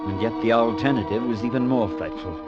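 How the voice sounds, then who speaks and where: An actor playing a calm, skeptical priest who also narrates, speaking in a measured, firm way in a 1940s radio drama. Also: male voice, mid-range voice, natural voice. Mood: solemn. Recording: dull old radio recording with music.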